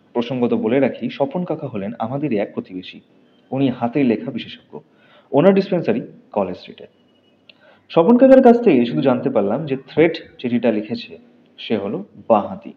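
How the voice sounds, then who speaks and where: Male mystery story narrator, slightly dull recording. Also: medium pitch. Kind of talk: dramatic scripted audio story narration. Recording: dull recording with faint noise.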